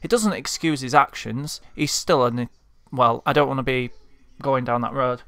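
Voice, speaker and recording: A man's voice: mid-range voice, moderate pace, a bit bright, dry recording. A man from Leeds with a Leeds accent, delivering a frustrated, heartfelt vlog rant as a football fan. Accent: Leeds Yorkshire. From Leeds, England. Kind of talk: impassioned monologue rant.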